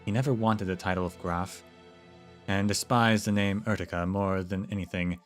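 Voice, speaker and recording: A man reads a game's story to his stream, relaxed and conversational, breaking off now and then for a quick aside. His voice sits low and even. Soft music plays faintly behind him. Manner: relaxed, with chatty asides and small restarts. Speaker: streamer narrating a game. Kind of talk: casual story read-aloud to a stream.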